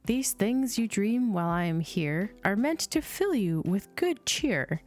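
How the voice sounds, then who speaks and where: A woman voicing a magical, cheerful male teddy bear who gently reassures in storybook rhyme. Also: medium pitch, big pitch swings, measured pace. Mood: gently explaining. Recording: music playing behind the voice.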